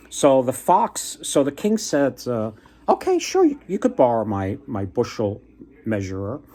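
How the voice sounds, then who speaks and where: A man telling folktales casually as a book vlogger, conversational and unscripted, halting and rambling as he goes. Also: on the low side, dark tone, husky.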